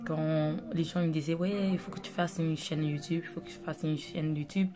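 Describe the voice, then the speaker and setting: Young woman, from Angola, native Dutch and Portuguese speaker; low, casual, filler-heavy vlog chat over a music bed. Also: fairly even intonation, moderate pace. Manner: chatty, rambling, with many fillers. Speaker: makeup artist vlogger. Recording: music playing under the voice.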